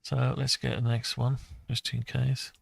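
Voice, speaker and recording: Gravelly man, flat and unhurried. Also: medium pitch, no echo. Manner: muttering to himself while working, thinking aloud. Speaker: hobbyist tutorial maker. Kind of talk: unscripted screen-recorded software walkthrough.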